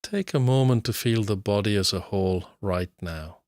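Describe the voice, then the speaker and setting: A man narrating a guided meditation as an instructor, in measured, unhurried short phrases with long pauses. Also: lowish voice, a little mellow, no echo, clean studio sound.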